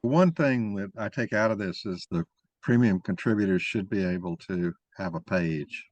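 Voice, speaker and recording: Very deep-voiced man rambling in discussion. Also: darkish tone, dry recording. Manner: halting, rambling, thinking aloud. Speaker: moderator of an online mock trial. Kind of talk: informal discussion.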